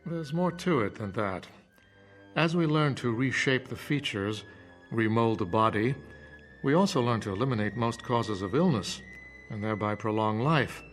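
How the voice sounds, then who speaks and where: A man playing a calm professor in a radio drama, persuasive and didactic, phrasing things deliberately. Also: mid-range voice, soft background music, lightly acted. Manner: measured, didactic, deliberate repetition. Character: calm, persuasive male professor preaching conformity.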